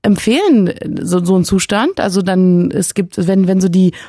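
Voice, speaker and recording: A woman's voice: lowish voice, normal speed, dark tone, no echo, clean studio sound. A woman hosting a call-in radio show, chatting playfully with lots of fillers and hesitations. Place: radio studio. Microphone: studio microphone.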